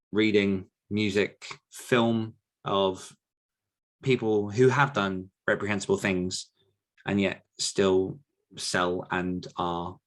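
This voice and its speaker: A man's voice: low voice, fairly bright tone. A man answering questions as a guest coach in a casual, reflective podcast conversation.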